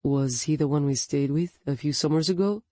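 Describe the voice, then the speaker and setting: A woman narrator voicing an adult man, a gentle boyfriend with a slight Argentinian accent, speaking softly and sympathetically. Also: sits low, normal speed, no echo, clean studio sound, lightly acted. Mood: sympathetic.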